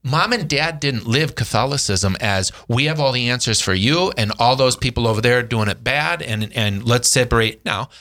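A man in his forties in casual, reflective conversation, earnest and animated when telling a story. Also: medium pitch, moderate pace, few pauses. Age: 40s. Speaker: brother in a family discussion.

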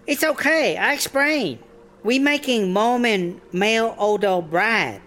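A woman's voice: fairly high pitch, expressive, slow pace, a bit bright. A woman playing a breezy, bossy startup boss in a comedy audio drama, speaking clipped broken English. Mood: breezy, reassuring. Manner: clipped broken English, dropped verbs and articles.

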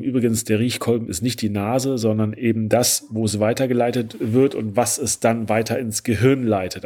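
A man's voice: fairly low pitch, a little mellow, husky. A male podcast host with a stuffy nose from a cold, explaining a topic in a chatty solo talk with frequent filler sounds.

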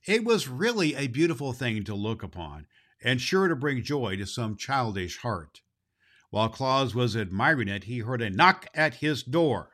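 Very animated man reading a children's story. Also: medium pitch, normal speed. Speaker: storybook narrator.

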